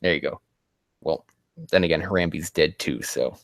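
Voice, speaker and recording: A man hosting a casual livestream, rambling and haltingly muttering to himself, with loose, crude language. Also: extremely low pitch, no room sound, clean studio sound. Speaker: livestream host.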